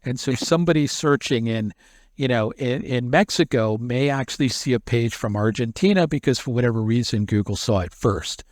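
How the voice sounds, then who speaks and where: A man's voice: mid-range voice, normal speed, no echo. Man explaining at length, dry sound. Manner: explains in long run-on stretches, repeating words when he restarts. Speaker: SEO expert guest. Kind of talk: explanatory interview talk.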